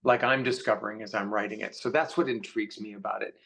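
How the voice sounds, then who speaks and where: A man's voice: fairly low pitch, steady tone, fast, creaky, fairly bright tone. A man speaking as a guest author in a casual panel conversation, thinking aloud and repeating for emphasis. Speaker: science fiction author, guest.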